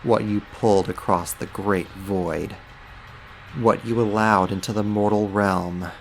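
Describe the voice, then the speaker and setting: A man acts out a mighty archdemon in an ASMR roleplay, with a deep voice and an unhurried, deliberate delivery. He is smug, menacing and theatrically taunting, with music playing underneath. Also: fairly even intonation, vocal fry. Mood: menacing, relishing. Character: powerful male archdemon, mocking, menacing, smugly dominant.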